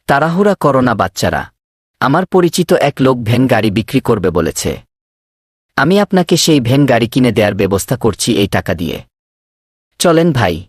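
A man voicing a generous middle-aged father in a children's cartoon, hospitable and gently insistent. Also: medium pitch, big pitch swings, moderate pace, husky, dry recording, studio quality. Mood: gently persuasive. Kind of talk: children's moral cartoon dubbing.

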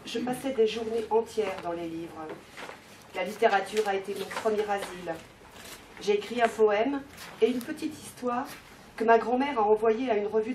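A woman reading a text aloud at a bookshop event, calm. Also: mid-range voice, slight background noise. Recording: echoey shop from far off.

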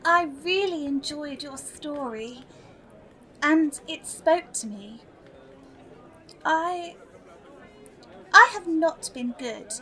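Young girl adult actress: high-pitched, unhurried, earnest and repentant, sweetly polite audio drama role. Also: lively intonation, bright, forceful tone, some chatter behind, exaggerated voice, low-quality recording. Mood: earnest, penitent.

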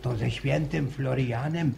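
Older superstitious village grandfather, gravelly and slow, noisy vintage radio-play recording. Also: medium pitch, darkish tone. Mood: stubborn. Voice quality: gravelly, halting restarts. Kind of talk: 1950s radio drama acting.

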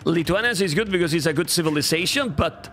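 A man in his thirties with a Spanish accent, casting a strategy game live and chatting to viewers, repeating phrases and going a bit hoarse. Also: a little high, fast. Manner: animated, repeats phrases, talks to chat. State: losing his voice. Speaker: game caster. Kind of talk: live game commentary to chat. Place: home streaming room. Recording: faint game music behind.

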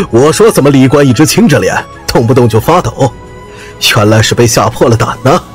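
A man playing a hearty, blunt martial-arts companion in an audio drama, boisterous and teasing. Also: medium pitch. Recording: music under the voice.

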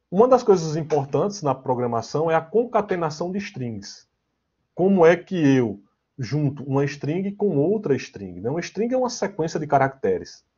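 A man's voice: fairly high pitch, darkish tone, slightly rough. A Brazilian man giving an informal online programming lecture in a conversational way, often checking in with little question tags. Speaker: programming teacher. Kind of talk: informal online lecture with slides and live coding. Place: online class, screen share. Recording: dull, lo-fi online class audio.

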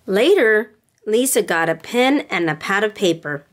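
A woman reading a picture book aloud to children in a deliberate, expressive way. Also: medium pitch. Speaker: storybook reader for children.

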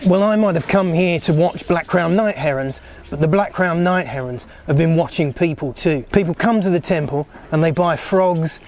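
A middle-aged man presenting to camera outdoors beside a canal, in a relaxed, wry, conversational way. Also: fairly high pitch. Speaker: birding vlogger.

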